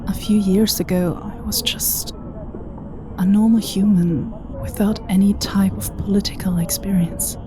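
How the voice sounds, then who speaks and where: A woman's voice: mid-range voice, fairly even intonation, a little slow, over music, lightly acted. A woman playing a witty, self-doubting human intermediary in an audio drama, with a conversational, hesitant manner. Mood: anxious.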